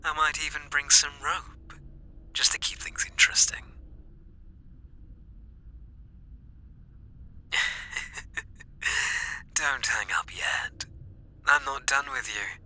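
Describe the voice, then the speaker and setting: A British man playing an obsessive, seductively playful masked killer, taunting in whispery, drawn-out questions close to the mic in an ASMR roleplay. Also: fairly high pitch, pushed and bright. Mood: menacing, eager. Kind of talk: ASMR roleplay, intimate monologue. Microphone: close microphone.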